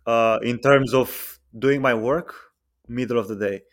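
A man in his twenties, a podcast guest chatting casually and relaxed, with many fillers and pauses. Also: mid-range voice. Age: 20s. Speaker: guest, freelance software engineer.

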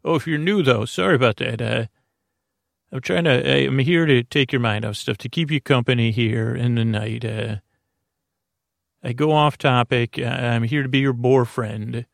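A man hosting a bedtime podcast, talking in slow meandering tangents full of fillers and restarts, with a creaky voice. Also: mid-range voice, dry recording, studio quality. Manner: meandering, filler-heavy, self-interrupting, soft. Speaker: podcast host. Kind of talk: rambling bedtime-story podcast talk.

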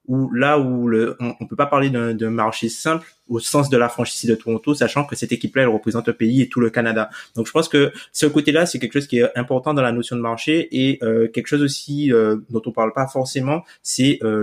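Homme hésitant qui répète ses mots. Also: male voice, medium pitch. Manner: hesitant, repeats words. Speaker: podcast co-host. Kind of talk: casual panel discussion.

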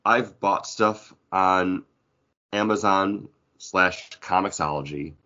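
A man with a fairly deep voice talks casually as a podcast co-host. He rambles in a jokey, informal way. The audio is somewhat dull and lo-fi. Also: slow, sharp tone. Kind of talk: casual comic book podcast chat.

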